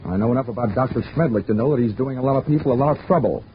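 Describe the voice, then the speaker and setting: A man's voice: mid-range voice, very fast, a little hiss or hum, natural voice. An actor playing a hard-boiled male insurance investigator who narrates his own case, with a dry, world-weary, wisecracking manner in a 1940s radio drama. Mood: pushy. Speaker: narrator and lead. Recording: muffled old radio recording with music.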